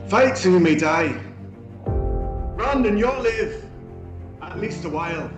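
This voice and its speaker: A man's voice: sits high, lively intonation. A man playing a defiant Scottish warrior leader delivering an impassioned, rousing film speech to his troops. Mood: solemn, stirring. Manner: slow, impassioned, building to a shout. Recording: echoey room with loud music behind.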